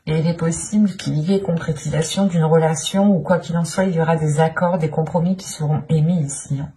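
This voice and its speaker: A woman with a fairly low voice hosts an improvised tarot reading. She feels her way through each interpretation, often repeating a phrase before moving on. A light layer of noise sits behind her voice. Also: steady tone, a little fast, dark tone. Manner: hesitant searching delivery, often repeats phrases. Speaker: tarot reader, channel host.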